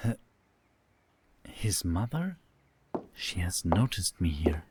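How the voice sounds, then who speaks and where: A man's voice: sits low, sing-song, takes it slowly, heavy background noise, full character voice. A man playing a polite, tentative young man, a son living at home, in an audio drama.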